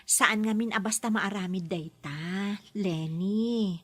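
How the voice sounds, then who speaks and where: A woman acting in a radio drama as a proud, blunt and expressive nanny who cares for her charge. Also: mid-range voice, slow pace. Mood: affectionate.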